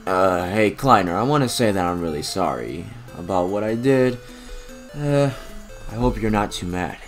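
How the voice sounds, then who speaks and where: A man's voice: on the low side, animated, moderate pace, soft background music, lightly acted. A man voicing a sheepish, nervous male cartoon culprit with a hesitant stammer.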